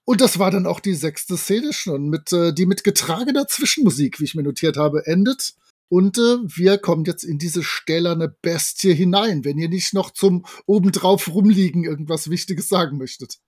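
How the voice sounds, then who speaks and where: High-voiced man recapping casually, dry sound. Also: animated, normal speed, darkish tone, slightly rough, dry recording. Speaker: podcast co-host.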